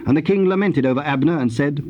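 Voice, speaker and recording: A man narrating scripture from a script in a measured, formal storytelling manner. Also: fairly high pitch, dull audio. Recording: noisy old cassette tape transfer.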